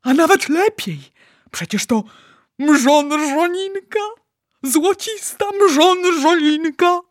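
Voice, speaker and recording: A woman voicing an excitable, bossy male giant in a storybook, exclaiming theatrically in garbled made-up words. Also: mid-range voice, takes it slowly, husky, no echo. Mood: thrilled. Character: excitable male giant dream-catcher, bossy, dramatic, word-mangling.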